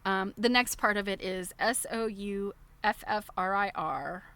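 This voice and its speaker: Female livestream host, medium pitch, informal and digressive, mixing book read-aloud with easily amused commentary. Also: unhurried, a bit bright. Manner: chatty, digressive, often breaking into chuckles.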